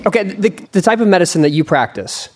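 A man's voice: high voice, lively intonation, fast talker. A young man hosting a podcast interview, chatting conversationally with plenty of fillers and restarts. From Pennsylvania. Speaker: podcast host. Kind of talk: casual interview.

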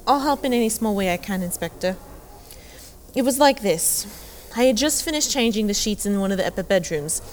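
An actress in an audio drama playing a polite housemaid, wordy, deferential and earnest. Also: female voice, mid-range voice, animated, a little fast, slight background noise, lightly acted. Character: polite, chatty housemaid, shaken witness.

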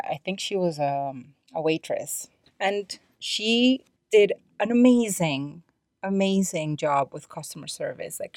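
A woman's voice: fairly low pitch, no echo, clean studio sound. A woman hosting a podcast solo, talking in a conversational, explanatory and opinionated way. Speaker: podcast host.

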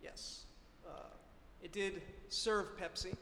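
A woman's voice: medium pitch, steady tone, slow pace, fairly bright tone. A woman playing a confused, agreeable husband in an improvised radio play, speaking hesitantly and earnestly. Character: confused, agreeable married man, earnest smart-home buyer. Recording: echoey hall-like reverb.

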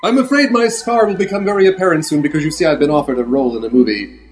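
A man's voice: fairly high pitch, very fast, faint music behind, lightly acted. A man playing a vain, self-serious movie heartthrob with a secret shame, in theatrical improvised old-time radio drama style. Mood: anguished.

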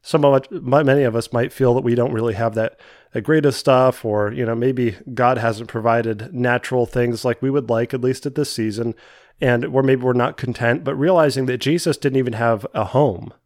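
A young man with a cold-congested voice hosts his own faith podcast, speaking earnestly and often stumbling back over a word before pushing on. His pitch sits in an ordinary middle range. The recording is dry and close, with no room sound around him. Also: steady tone, normal speed, a little mellow, no room sound. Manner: earnest, with frequent restarts and repeated words. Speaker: podcast host. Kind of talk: solo devotional podcast talk.

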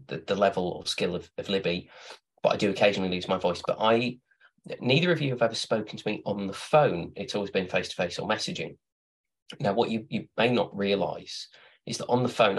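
A man with a low, husky voice hosting a relaxed podcast. He rambles through playful anecdotes, full of restarts and asides, in an easy, chatty way. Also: poor audio. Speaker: podcast host. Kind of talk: casual chat.

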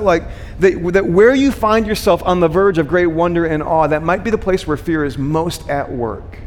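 Higher-voiced male preacher in a reverberant hall. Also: noisy background. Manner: rhetorical questions, repeated phrases for emphasis, frequent "right?" check-ins. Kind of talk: conversational sermon to a congregation.

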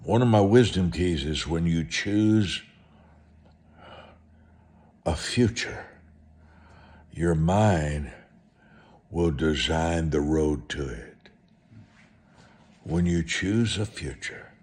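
Male American preacher, low and unhurried, for motivational storytelling sermons; emphatic delivery built on short repeated phrases. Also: a little mellow.

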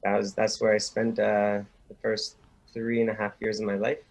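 A young man answering interview questions, halting and repeating words as he gets going. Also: fairly low pitch, monotone, gravelly, rough recording. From Sweden, raised in Montreal. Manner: hesitant, repeats words as he starts. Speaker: guest, rabbinic student.

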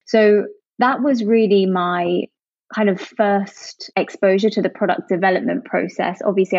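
A woman's voice: mid-range voice, darkish tone, no echo. A young woman from Jersey, a product manager and interview guest, answering upbeat and chatty with lots of "actually" and "you know". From Jersey, Channel Islands. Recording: dull, dry sound.

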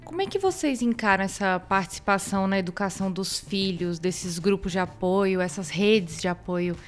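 A woman's voice: medium pitch, moderate pace. A woman with a Brasília accent presenting a podcast and putting questions to guests as host. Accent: Brazilian, Brasília.

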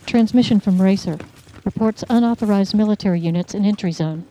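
An actress playing a brisk army major in a radio play, speaking in clipped, procedural military radio talk. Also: female voice, medium pitch, warm tone, lightly acted, rough recording. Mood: businesslike.

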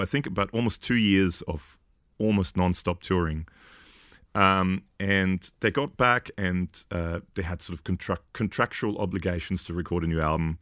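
Man in his forties, from Austria, very low voice, halting and chatty, dry close sound. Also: fairly bright tone, no echo. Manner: hesitant, many fillers and restarts, laughs often. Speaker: podcast guest. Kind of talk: casual chat.

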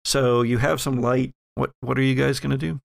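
Gravelly man narrating a tabletop game. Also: mid-range voice, flat delivery, normal speed, no room sound, studio quality. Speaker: game master. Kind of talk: narrating an actual-play horror tabletop game.

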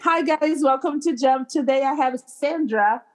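A woman's voice: sits high, measured pace, music in the background. A woman hosting an interview, gushing with praise and asking her guest questions in a casual way. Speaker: host.

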